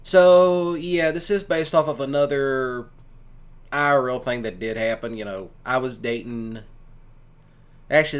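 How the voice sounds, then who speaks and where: A man hosting his own channel, giving casual, rambling commentary to listeners in an informal, emphatic way. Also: mid-range voice. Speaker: ASMR creator and host.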